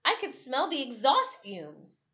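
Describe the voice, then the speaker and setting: A woman reads a children's novel aloud as a storyteller, with expressive, dramatic and deliberate phrasing. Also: high voice. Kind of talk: expressive first-person story read-aloud. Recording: dull lo-fi recording.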